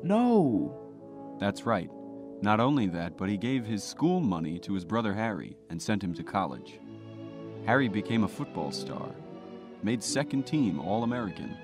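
A lowish male voice , an actor's, narrates as a radio drama storyteller, recounting a life. Clear orchestral music with brass sits behind him. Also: very animated, a little slow, long pauses. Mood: explaining.

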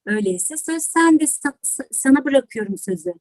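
High-voiced woman host, dry close sound. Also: animated, normal speed, darkish tone, no room sound. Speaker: host and teacher. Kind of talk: hosting an online storytelling event. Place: online video call.

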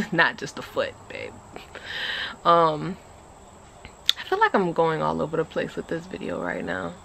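A woman in her twenties chatting casually to a phone camera on an outdoor porch, animated and rambling. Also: measured pace, creaky, long pauses, slight background noise. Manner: animated, chatty, rambling with lively pitch jumps. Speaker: vlogger. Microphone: phone camera at arm's length.

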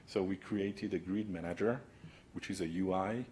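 Low-voiced man giving a conference talk. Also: fairly even intonation, normal speed, a little mellow, a little hiss or hum. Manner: casual explaining with frequent "right?" tags. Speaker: conference speaker. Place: conference room, large indoor hall. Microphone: podium microphone.

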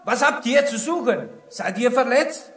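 Agitated shopkeeper, pleading, sharp bright tone, echoey room, audio drama. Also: male voice, extremely high pitch, lively intonation, a little slow, heavily acted. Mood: alarmed. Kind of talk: German children's audio drama acting.

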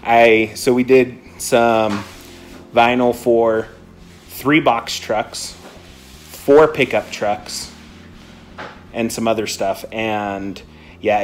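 A man in his thirties, a print shop coworker, joking casually into a phone held at arm's length. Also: fairly low pitch. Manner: jokey, chatty, self-mocking. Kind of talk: casual chat to camera. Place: print shop storeroom indoors.

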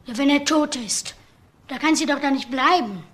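A man's voice: extremely high pitch, moderate pace, fairly bright tone. A young male actor playing a naive, withdrawn seventeen-year-old brother who answers simply and hesitantly in a crime radio play. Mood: subdued, naive. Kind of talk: German crime radio drama acting. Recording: roomy, faint background noise.

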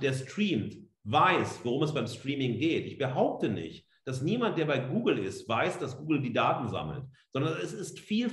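A middle-aged man giving a free-spoken academic lecture as a professor, emphatic and rhetorical, repeating phrases and pausing for weight. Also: mid-range voice. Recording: echoey room sound.

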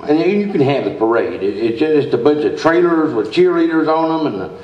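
A male actor plays a grumbling, joking radio sidekick in old-time stage comedy. His voice is fairly high and bounces around in pitch. It sounds distant and echoey, as if from across a theatre. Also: sing-song, fairly quick. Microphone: stand microphone, camera far off. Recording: muffled, echoey stage recording from afar.

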